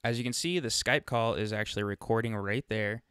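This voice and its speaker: A man's voice: on the low side, a little fast, fairly bright tone, clean tone, no echo. Casting: male how-to presenter, clean resonant tone, informal and chatty, dry close-mic sound. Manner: informal, chatty, thinking aloud while demonstrating. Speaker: tutorial presenter. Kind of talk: casual screen-recorded software walkthrough. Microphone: close USB microphone.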